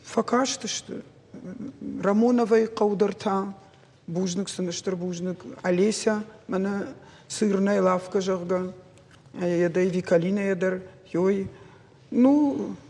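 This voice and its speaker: A native Ossetian-speaking man with a husky voice, giving a halting, unscripted account. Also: high-pitched, mellow. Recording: very echoey, reverberant room.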